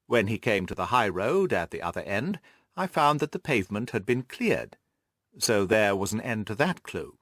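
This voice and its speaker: A man reading the part of a shrewd, self-assured gentleman detective, precise and methodical, in an audiobook. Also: fairly low pitch, big pitch swings, normal speed, no room sound, studio quality.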